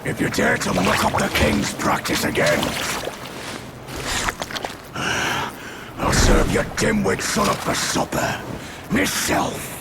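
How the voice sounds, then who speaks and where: A brutish, boastful demon chieftain with a gravelly, sneering voice. His pitch sits high and swings wildly as he drags out his threats slowly. Heavy noise sits behind the game audio. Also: huge pitch swings, heavily acted. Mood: taunting. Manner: taunting, crude. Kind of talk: video game cutscene acting.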